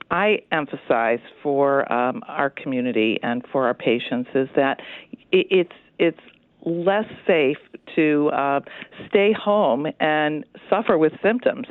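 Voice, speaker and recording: A woman speaking as a hospital executive in a radio interview, explaining things in a measured, reassuring way over the phone. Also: low voice, no room sound. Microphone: telephone. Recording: tinny, narrow phone line.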